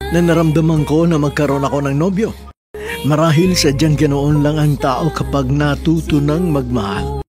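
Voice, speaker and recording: Man acting a dreamy young lover, slightly high-voiced. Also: steady tone, normal speed, lightly acted. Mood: dreamy, elated. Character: dreamy young man newly in love, confiding his story. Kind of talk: dramatic letter narration in radio drama. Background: music.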